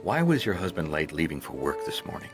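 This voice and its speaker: An actor playing a weary, wry private detective in a hardboiled radio drama, laconic and understated, both narrating and in dialogue. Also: male voice, rumbling low, big pitch swings, fairly quick, over music. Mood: guarded, probing.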